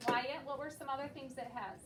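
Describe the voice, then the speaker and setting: A woman's voice: high voice, steady tone, brisk, crowd noise. An American woman teaching young pupils in a classroom, explaining things simply and prompting them with questions. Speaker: elementary school teacher. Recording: echoey, noisy room, distant mic.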